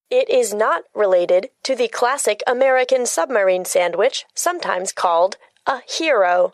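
A woman playing a matter-of-fact, literal-minded oracle who gives precise, deadpan explanations in a scripted children's story podcast. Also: on the high side, no room sound, lightly acted. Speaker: co-host giving factual asides.

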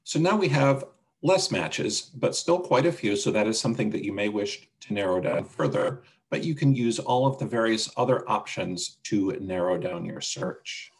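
A man presenting a casual software walkthrough on a video call, explaining things step by step. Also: lowish voice, expressive, moderate pace. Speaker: webinar presenter demonstrating a website.

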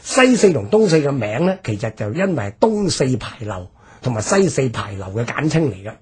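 A male radio presenter with a Hong Kong Cantonese accent explains history in a very husky, rough voice. His pitch moves up and down in an animated way, and he stretches the ends of his phrases as he lays out each point. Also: moderate pace.

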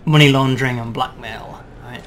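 A man's voice: mid-range voice, fairly even intonation, normal speed, fairly bright tone. A man, a game designer casually walking through gameplay and explaining it step by step, often checking in with "right?". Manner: explains steadily with frequent "right?" and "um". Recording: faint background noise.

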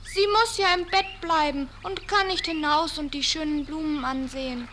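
A woman's voice: sits high, fairly even intonation, moderate pace, bright, forceful tone, slight background noise. A woman playing a sweet, cheerful little girl in a fairy-tale audio play, with an earnest, naive delivery. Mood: delighted.

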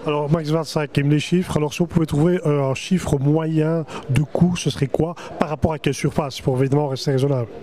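Fast-talking presenter with handheld mic. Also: male voice, fairly high pitch, fairly even intonation, warm tone. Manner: chatty, salesman-like presenter. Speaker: trade-fair TV host. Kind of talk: promotional interview at a trade show. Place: exhibition hall stands. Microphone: handheld microphone.

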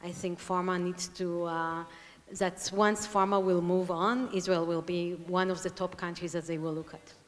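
A woman speaking at a slightly low pitch on a conference stage, putting her points across haltingly. A long reverb from the hall trails after her voice. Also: flat delivery. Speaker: panelist.

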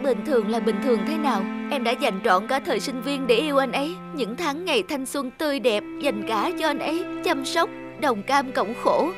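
A woman playing an emotional, heartbroken young woman in an animated drama, with plaintive, melodramatic delivery. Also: high-pitched, moderate pace, few pauses. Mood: tearful, despairing. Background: background music.

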